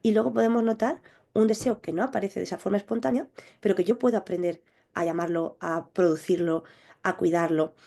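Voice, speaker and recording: A woman sexologist answering interview questions, explaining in a measured, thoughtful way with small restarts. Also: medium pitch, vocal fry, mellow. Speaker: clinical sexologist guest.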